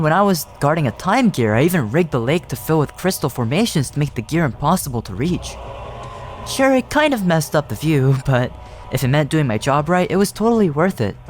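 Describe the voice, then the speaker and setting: A man voicing a playful, chatty little guardian spirit in a video game, breezy and teasing. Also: a little high. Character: playful, chatty small lake-guardian spirit creature, cheeky. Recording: game music behind the voice.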